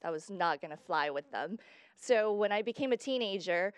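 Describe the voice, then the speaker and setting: A woman's voice: mid-range voice, animated, moderate pace, smooth, no echo. Chatty woman from Guatemala, dry sound. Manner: chatty, explains at length with fillers. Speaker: guest. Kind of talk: casual interview chat.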